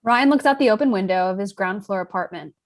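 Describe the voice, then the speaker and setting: A woman reads stage directions for a screenplay table read. Her voice is mid-pitched, and she delivers scripted scene narration. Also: quick pace.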